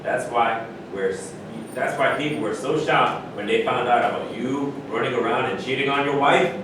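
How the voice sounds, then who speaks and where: A man acts out an opinionated, wry basketball great in a stage play. He talks like a storyteller, pausing for emphasis. The sound is distant and very echoey, over rumbling noise. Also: close to an everyday voice. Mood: explaining.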